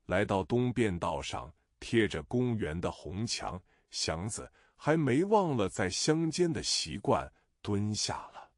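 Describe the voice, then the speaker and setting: Lively low-voiced male storyteller. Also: dry recording, studio quality. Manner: expressive reading, voicing characters' lines. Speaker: audiobook narrator. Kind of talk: scripted novel narration.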